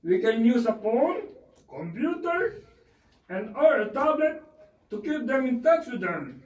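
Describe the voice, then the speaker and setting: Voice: mid-range voice, big pitch swings, measured pace. A man voicing a polite, cheerful monkey puppet as a guest on a scripted puppet show, reading his answers carefully. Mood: polite, earnest. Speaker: interviewed guest who works in a day program. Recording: echoey room, far from mic.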